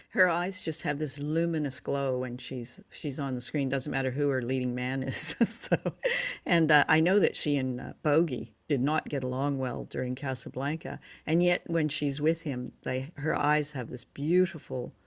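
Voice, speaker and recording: A woman's voice: extremely low pitch, fairly even intonation, normal speed. A woman co-hosting a show, talking in a chatty, reflective way about old entertainment in a casual discussion. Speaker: podcast co-host. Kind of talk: casual film and radio discussion.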